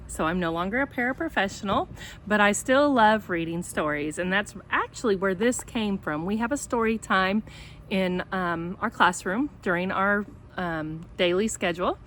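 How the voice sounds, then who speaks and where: Woman teacher doing casual storytime outside, rambly and chatty, medium pitch, bit of noise behind her. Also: a bit bright. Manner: chatty, rambling, self-deprecating asides. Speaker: special education teacher reading a picture book aloud. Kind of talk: casual vlog chat and storybook read-aloud. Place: outdoors in sunshine. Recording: homemade outdoor recording with background noise.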